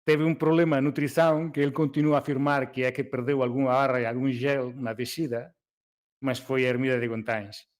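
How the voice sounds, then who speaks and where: A man's voice: medium pitch, darkish tone. Galician-accented man chatting casually. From Galicia, Spain. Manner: mixes Galician and Portuguese, laughs often, hesitant fillers. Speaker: race organizer. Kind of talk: casual podcast chat.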